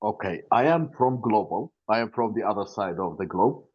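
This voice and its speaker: A man's voice: on the low side, expressive, breathy edge. Middle-aged Iraqi-accented man, slightly muffled. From Iraq. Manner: halting, searching for English words. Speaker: family business representative. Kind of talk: self-introduction at networking meetup. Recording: dull, lo-fi call audio.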